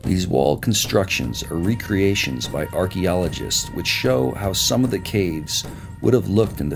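A man narrates a travel documentary in a steady, measured voice-over. His voice sits very low with a gravelly fry at the edges. Loud music plays under him.

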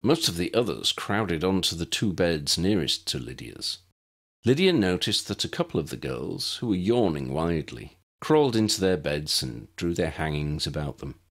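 A man's voice: low-pitched, very animated, normal speed. A man narrating a scripted audiobook story.

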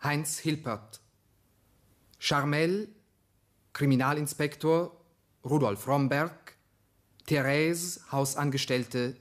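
A man's voice: fairly high pitch, steady tone, slow, long pauses. A man working as a radio announcer, formally reading out scripted credits.

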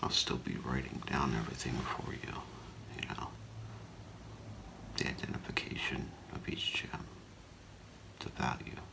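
Middle-aged man, deep creaky voice, whispered ASMR role play, halting, softly reassuring. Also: fairly even intonation, low-quality recording. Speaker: ASMR performer role-playing a gemologist. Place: small indoor room with curtain.

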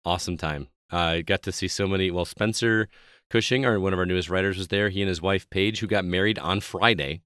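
Slightly hoarse, low-voiced male podcast host. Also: brisk, a bit bright, no room sound, studio quality. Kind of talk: casual podcast chat.

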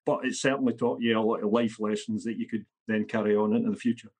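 A man's voice: fairly low pitch, level tone, quick pace, darkish tone, slightly rough. A Scottish man in his fifties, a podcast guest talking bluntly and matter-of-factly. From Scotland. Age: 50s. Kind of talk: podcast interview.

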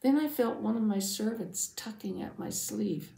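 A woman voicing a devout, anxious father who earnestly tells his Bible story, dramatically, with hushed phrase endings. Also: mid-range voice, moderate pace, gravelly, voice pushed up, natural voice. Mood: tense, hopeful. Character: devout anxious father, synagogue leader, telling his testimony. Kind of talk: first-person Bible storytelling for families. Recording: roomy, echoing sound.

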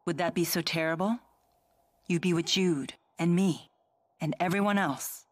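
Composed young game heroine, low female voice, blunt and formal, dry sound; woman acting. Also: fairly even intonation, unhurried, no echo, natural voice. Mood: earnest, calm. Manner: matter-of-fact, formal.

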